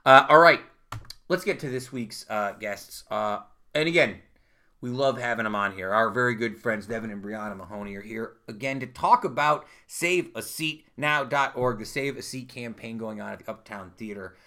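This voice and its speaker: Male podcast host, loose and laughing, bright tone, voice going hoarse. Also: mid-range voice, moderate pace. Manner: chatty, laughing, lots of "uh" and "like". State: losing his voice. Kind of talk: casual chat.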